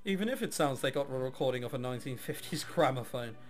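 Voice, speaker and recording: A man's voice: medium pitch, lively intonation, fast, soft background music. A man hosting a visual novel let's play, reading game text aloud and adding casual, wry, irreverent asides.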